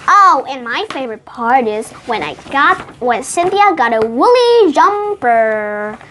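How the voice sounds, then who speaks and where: Very high-pitched, animated young storyteller. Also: unhurried, a little hiss or hum. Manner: expressive, playful, with gasps and exclamations. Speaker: co-host reading a picture book aloud. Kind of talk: animated read-aloud storytelling with chatty asides.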